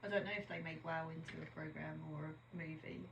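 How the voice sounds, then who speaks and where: A woman's voice: deep voice, steady tone, brisk, gravelly, lo-fi sound, a little hiss or hum. A woman co-hosting a podcast in casual chat, chatty and teasing, sometimes trailing off while searching for a word. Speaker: podcast co-host. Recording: echoey room.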